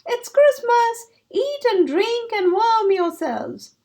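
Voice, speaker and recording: A woman's voice: extremely high pitch, big pitch swings, slow pace, pitched above the performer's own voice, heavily acted. A woman voicing a kindly, generous male mole welcoming everyone in a children's storybook. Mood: jolly welcoming. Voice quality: soft.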